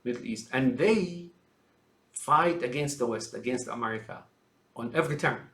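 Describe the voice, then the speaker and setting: A man's voice: medium pitch, moderate pace, fairly bright tone, echoey room. A man from Syria giving a rambling, emphatic political monologue, often calling his listeners folks. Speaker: commentator.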